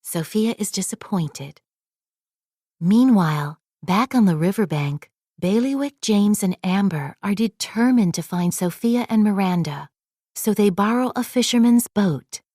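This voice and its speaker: Slow, animated woman storyteller. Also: medium pitch, dry recording, studio quality. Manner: expressive read-aloud delivery. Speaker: storybook narrator. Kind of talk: scripted children's story narration.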